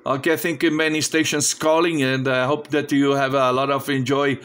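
A man's voice: mid-range voice, flat delivery, normal speed, fairly bright tone. A Brazilian man, a native Portuguese speaker, making a friendly amateur radio contact in non-fluent English. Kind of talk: casual ham radio contact. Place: indoor home radio station.

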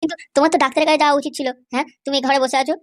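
A man's voice: very high-pitched, steady tone, rapid-fire, a bit bright. A man voicing a protective young nephew in an amateur comedy cartoon, insistent, boastful and reassuring. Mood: concerned. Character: cocky, protective young nephew vowing revenge.

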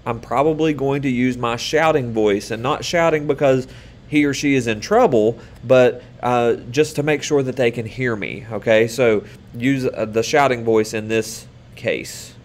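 A man in his thirties giving an online lesson to children, speaking patiently and upbeat, with many fillers and pauses, recorded on a webcam in a small room. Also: medium pitch. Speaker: teacher.

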